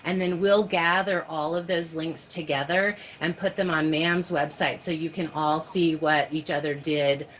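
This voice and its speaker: A woman's voice: low voice, steady tone, unhurried, few pauses. A woman leading an informal online training for teachers, explaining step by step and checking in with her listeners over a video call. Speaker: workshop leader training teachers. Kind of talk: informal online training presentation with questions and answers. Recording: noisy video-call audio.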